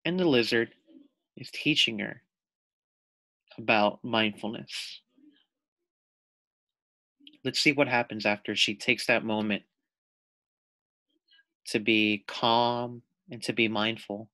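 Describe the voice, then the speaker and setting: A man reading a picture book aloud to young children as their teacher, deliberate and explanatory. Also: medium pitch, fairly even intonation, pushed and bright, no echo. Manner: deliberate, explanatory, asks the listeners questions.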